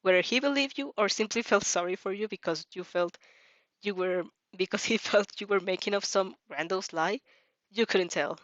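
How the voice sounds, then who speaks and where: A woman's voice: medium pitch, moderate pace, a bit bright, dry recording, lo-fi sound. A woman reading a story aloud expressively, giggling and stumbling over words as she casually reacts. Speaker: reader reacting to fan fiction.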